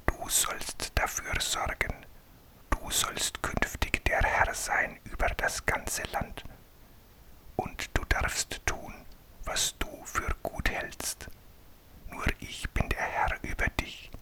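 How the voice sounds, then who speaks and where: A man's voice: takes it slowly, lightly acted. A man whispering the lines of an ancient king in a bedtime story. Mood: decreeing. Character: commanding ancient male king, amazed.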